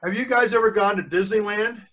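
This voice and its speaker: A man's voice: high-pitched, a little fast, bright, forceful tone. An older man reading a story aloud to children, stopping often to explain words and check they are following. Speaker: grandfather reading a book to children. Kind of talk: read-aloud storytelling with chatty asides to children. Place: video call with screen sharing.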